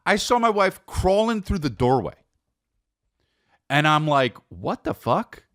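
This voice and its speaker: An American man hosting a comedic podcast, telling personal stories in a casual, rambling, animated way with repeated phrases for emphasis. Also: a little high, measured pace, no room sound, studio quality.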